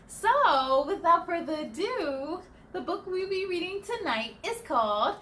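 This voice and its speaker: A woman hosting a children's story time, reading a picture book aloud expressively, with animated exclamations, musing asides and questions to the listeners. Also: extremely high pitch, unhurried, slight background noise.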